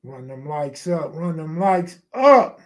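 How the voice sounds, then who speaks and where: A man's voice: fairly high pitch, sing-song, takes it slowly. An American man hosting a live stream, chatting casually in slangy, profane, streetwise talk.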